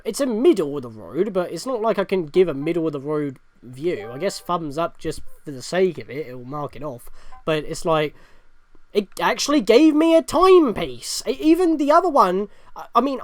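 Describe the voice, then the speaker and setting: A video host giving reactive, rambling gaming commentary and thinking out loud. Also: medium pitch, no room sound. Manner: rambling, self-questioning.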